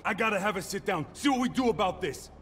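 An actor playing a bossy, irritable mobster in a video game, with an American accent and a tough, barking delivery. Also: male voice, sits high, lively intonation, quick pace, noisy background. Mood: commanding.

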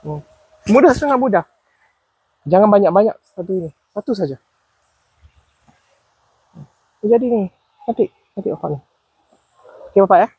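A man's voice: high-pitched, animated, moderate pace, pauses often, a little mellow, husky, no room sound, low-quality recording. A Malaysian man with a Malaysian accent chatting outdoors in rice paddies, curious and asking questions, repeating answers back. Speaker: vlogger. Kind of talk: casual walking vlog chat. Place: outdoors in rice paddy fields. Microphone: body-worn action camera.

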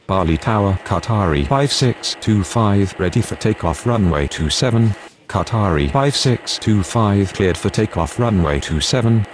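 A low male voice , acted for an air traffic game, calm and procedural. He delivers clipped aviation radio phrases over a noticeable bed of ambient noise. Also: moderate pace, lightly acted.

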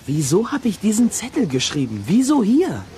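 A man's voice: high voice, huge pitch swings, normal speed, warm tone, a little hiss or hum. A man playing an impulsive, stubborn boy detective in a children's audio drama, blunt and impatient. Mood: frustrated.

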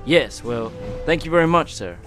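An actor playing a polite, earnest young American newspaper correspondent in a wartime radio drama. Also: male voice, fairly high pitch, expressive, moderate pace, a bit bright. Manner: polite, hesitant. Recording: faint music behind the voice.

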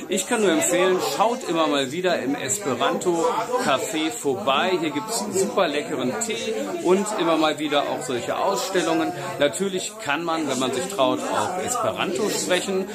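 A man's voice: on the high side, animated, moderate pace, few pauses. Middle-aged male vlogger, upbeat selfie-cam chatter, crowd noise behind. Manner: chatty, upbeat, addresses viewers directly. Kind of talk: casual vlog commentary to camera. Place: outdoors and inside a busy café. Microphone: selfie camera at arm's length.

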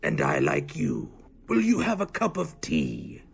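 Man acting a gravelly, slow-spoken gruff old grandfather. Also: a bass voice, wildly swooping pitch, full character voice. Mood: courtly. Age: older. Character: gruff, stern old grandfather with a soft heart. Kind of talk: radio drama acting.

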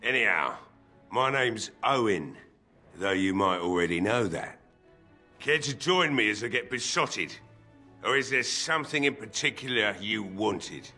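An old village blacksmith from a fantasy game, drunk and grieving. His voice is gruff and forceful, bitter and weary, with faint music behind it. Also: lowish voice, lively intonation, measured pace, heavily acted. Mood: gruff, curt. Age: older. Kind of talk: fantasy game dialogue acting.

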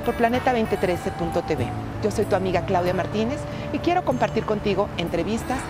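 A woman in her forties reading a scripted show promo outdoors, in an inviting, smooth way. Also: medium pitch, expressive, fairly quick. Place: outdoors by bushes. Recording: loud music under the voice.

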